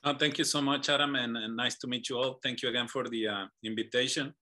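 A man's voice: medium pitch, steady tone, fast, bright, forceful tone. A man from Mexico, a business association founder, telling his story as a guest at an online meetup, earnest and often repeating words as he searches for phrasing.

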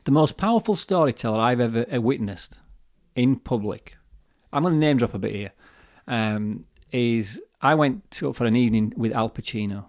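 A man's voice: medium pitch, animated, normal speed, a little mellow, no room sound. Guy talking on a podcast, lots of asides and little acted quotes, dead dry sound, mic right up close. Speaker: podcast co-host. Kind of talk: casual chat. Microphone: desk microphone.

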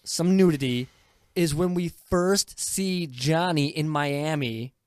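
A woman's voice: low-pitched, takes it slowly, no echo, studio quality. A woman chatting casually as a podcast host reviewing a film, giggly and emphatic in how she tells it. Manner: animated, giggly, emphatic storytelling. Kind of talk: casual movie review chat.

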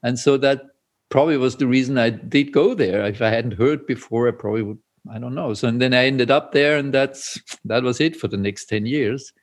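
An older man from Austria with an Austrian German accent, a Zen priest speaking as an interview guest, pausing often with fillers and soft chuckles. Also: medium pitch. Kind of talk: reflective interview talk.

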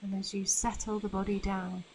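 A woman guiding a meditation in a soothing, unhurried manner with long pauses between phrases. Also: medium pitch, flat delivery, a little mellow, a little hiss or hum, low-quality recording. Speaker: meditation guide. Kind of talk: guided meditation narration.

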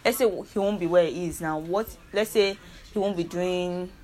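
Earnest Nigerian young woman, lower voice. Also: moderate pace, a little mellow, slight background noise. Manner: rambling, self-correcting, earnest and persuasive. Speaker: podcast host. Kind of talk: casual solo monologue.

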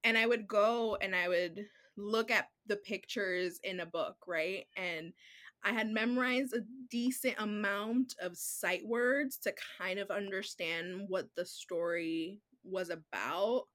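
A woman guest whose first language is Spanish, telling her story in a relaxed, chatty way. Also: mid-range voice, slow. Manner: relaxed, chatty, heavy use of "like" and "um". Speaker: children's book author, guest. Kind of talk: casual podcast conversation.

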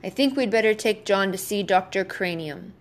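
A woman's voice: mid-range voice, moderate pace, close to an everyday voice. A woman voicing an exasperated father in a children's story, reasoning insistently with his son. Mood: concerned. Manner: insistent, questioning, persistent.

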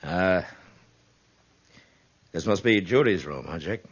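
A stern frontier marshal in a vintage radio western. The voice is deep and measured, with blunt authority. The sound is dull, like an old broadcast. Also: male voice, lively intonation, husky, exaggerated voice. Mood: quiet, firm. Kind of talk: 1950s radio western acting.

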